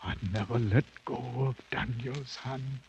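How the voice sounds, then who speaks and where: An actor playing a half-mad British ex-soldier, a haunted survivor, halting and rambling, in 1950s radio drama style. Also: male voice, mid-range voice, steady tone, darkish tone, natural voice. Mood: haunted reverence. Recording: muffled, noisy 1950s radio broadcast.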